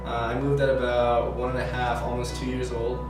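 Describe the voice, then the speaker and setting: A man's voice: mid-range voice, completely flat delivery, brisk. A young man from Colombia answering interview questions in a casual, rambling way, with many fillers and self-corrections. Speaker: student interviewee. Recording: echoey room from far off, music behind.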